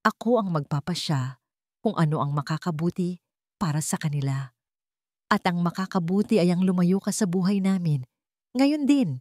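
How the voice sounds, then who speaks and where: A woman's voice: on the low side, lively intonation, moderate pace, pauses often, a little mellow, no room sound, studio quality, lightly acted. A woman voicing a haughty, cruel rich older mother-in-law in a storybook drama, commanding and full of contempt. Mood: angry, commanding.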